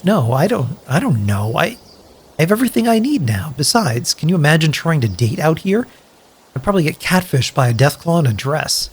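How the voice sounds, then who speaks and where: An actor playing a sincere, joking wasteland survivor in an audio drama, speaking earnestly and hesitantly with wry, profane humour. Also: male voice, fairly high pitch, sing-song, brisk, husky. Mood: defensive. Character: sincere, grateful, joking male wasteland survivor, loyal friend. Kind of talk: audio drama dialogue, naturalistic.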